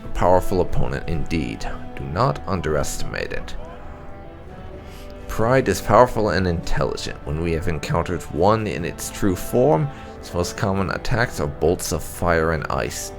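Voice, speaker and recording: A man's voice: low voice, sing-song, normal speed, vocal fry, over music, full character voice. A man voicing a stern Templar commander from a fantasy game, giving a grave, cautionary lecture. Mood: grave warning.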